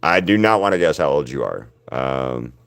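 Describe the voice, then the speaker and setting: A man with a deep voice, chatting casually with viewers while he paints on a livestream. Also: animated, moderate pace, gravelly, clean tone. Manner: rambling, answers viewers' chat by name. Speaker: artist hosting a livestream.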